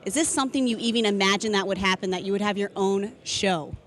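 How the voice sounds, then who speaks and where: A woman's voice: mid-range voice, fast talker. An American woman presenting and interviewing in a sports broadcast style.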